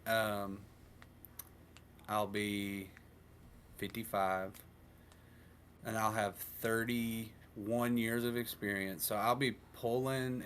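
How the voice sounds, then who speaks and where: A man in his twenties, a teacher and coach, chatting casually on a podcast and working through numbers out loud. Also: lowish voice, slight background noise. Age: 20s. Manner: relaxed, rambling, works through numbers aloud.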